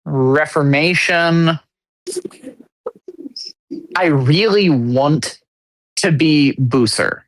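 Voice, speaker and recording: A man co-hosting a podcast in casual conversation, halting and full of restarts, with blunt self-deprecating humor. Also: on the high side, no room sound, low-quality recording. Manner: halting, many restarts and fillers. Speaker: podcast co-host.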